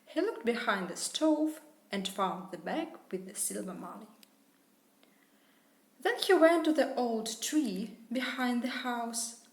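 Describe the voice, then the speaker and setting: Slow, lilting woman reading a fairy tale. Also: on the high side. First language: Russian. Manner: slow, clear storybook reading for learners. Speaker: English tutor. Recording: roomy echo.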